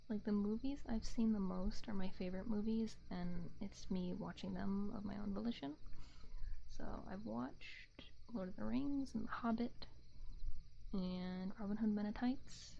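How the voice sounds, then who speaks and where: Slow, soft, muffled ASMR woman. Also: medium pitch, gravelly, slight background noise. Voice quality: gentle, soft, often slipping into whisper. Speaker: livestream host. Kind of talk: soft-spoken ASMR chat with viewers. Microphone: close binaural ASMR microphone.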